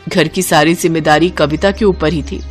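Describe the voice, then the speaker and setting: A woman narrating a moral cartoon story in an expressive, melodramatic way. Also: deep voice, moderate pace, soft background music.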